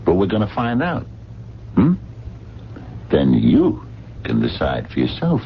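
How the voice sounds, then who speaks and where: An actor playing a blunt, gruff but protective diner cook who questions everything, in 1970s radio drama style. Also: male voice, deep voice, wildly swooping pitch, a little slow, exaggerated voice. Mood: grudging, resolute. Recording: dull, faintly noisy vintage radio recording.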